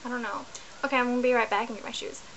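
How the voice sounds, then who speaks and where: A woman's voice: a little high, fairly even intonation, very fast, a bit bright, a little hiss or hum, low-quality recording. Chatty young woman on a laptop webcam. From New Zealand. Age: young adult. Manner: chatty, rambling, self-correcting. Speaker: vlogger. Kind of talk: casual chat to camera. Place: small bedroom indoors.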